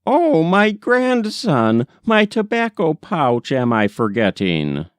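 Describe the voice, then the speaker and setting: Guy doing an old granny from a storybook, fearful and fretting, drags words out, pitched up and swoopy. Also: huge pitch swings, slow, smooth, dry recording, heavily acted. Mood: fretful. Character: old grandmother, fretful and fearful, in a folk tale.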